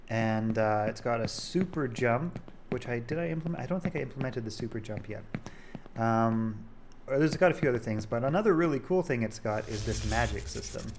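A man giving a casual, halting screen-recorded demo as a game developer, thinking aloud as he goes. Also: mid-range voice, noisy background. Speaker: game developer showing a project.

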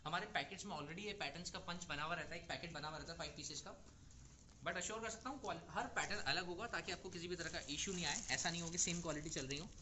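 Young male trader, brisk reassuring sales patter, noisy stockroom. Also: a little high, bright, forceful tone. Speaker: wholesale seller presenting stock. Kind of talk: product showcase pitch to retailers. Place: clothing warehouse or shop.

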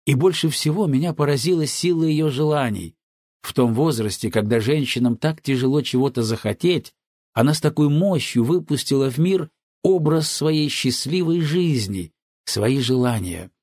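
A man narrating an audiobook from a script in a measured, earnest way. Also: medium pitch, a little mellow, dry recording, clean studio sound.

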